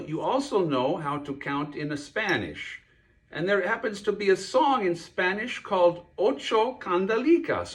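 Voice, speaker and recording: A man voicing a friendly pig puppet who explains things haltingly to a child in a puppet show. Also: high voice, exaggerated voice. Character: friendly kosher pig puppet teaching a child about Hanukkah.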